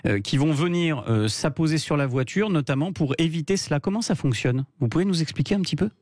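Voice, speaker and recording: Homme au parler vif et indigné. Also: male voice, a little high, lively intonation, darkish tone, dry recording, studio quality. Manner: outspoken, indignant, rapid asides. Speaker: radio co-host. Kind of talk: lively radio chat. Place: radio studio. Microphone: studio microphone.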